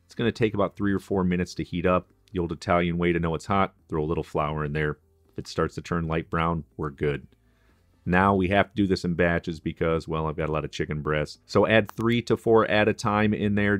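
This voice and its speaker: A man's voice: sits low, fairly even intonation, a little fast, no room sound. A man explaining a recipe step by step as a chef in a cooking tutorial, in a friendly, instructive way. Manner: instructive, friendly, practical kitchen tips.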